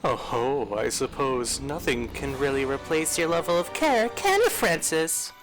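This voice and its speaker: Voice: medium pitch, very animated, measured pace, over music, low-quality recording. An actor voicing a smug, condescending male mayor with a dry, sarcastic drawl in a fan audio drama.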